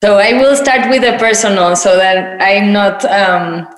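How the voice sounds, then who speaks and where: A woman's voice: medium pitch, fairly even intonation, measured pace, reverberant, poor audio. A woman from Mexico telling her own story, thoughtful and hesitant with many fillers. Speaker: guest. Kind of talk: personal introduction in a conversation.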